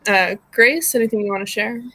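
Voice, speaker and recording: A woman's voice: medium pitch, a little fast, bright, forceful tone. A woman hosts a live stream with an informal, chatty delivery. She is giggly and loose, as if introducing things casually over a video call.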